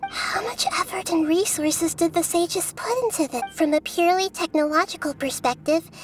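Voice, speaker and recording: A woman playing a small young goddess of wisdom in a video game, calm, measured and analytical, with a childlike voice. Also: extremely high pitch.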